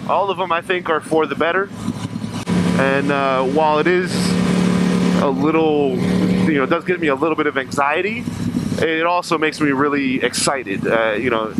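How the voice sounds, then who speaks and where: A man's voice: fairly high pitch, moderate pace. A young man with a cold-stuffed nasal voice, chatting casually for a vlog while riding a motorcycle, rambling and correcting himself. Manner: rambling, self-correcting, upbeat. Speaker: vlogger. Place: outdoors on a motorcycle in traffic. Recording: loud traffic noise behind.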